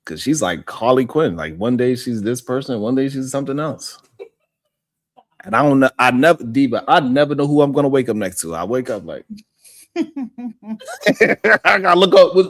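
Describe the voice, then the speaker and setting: Slangy, laughing man with a lively voice. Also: mid-range voice, moderate pace, no room sound, clean studio sound. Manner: laughs often, loose slangy storytelling. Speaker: live stream host. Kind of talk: casual chat.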